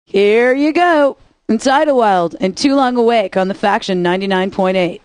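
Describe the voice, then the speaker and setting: A woman's voice: mid-range voice, normal speed, darkish tone, no echo. A woman radio DJ with sarcastic, wisecracking scripted patter, recorded close on a studio mic.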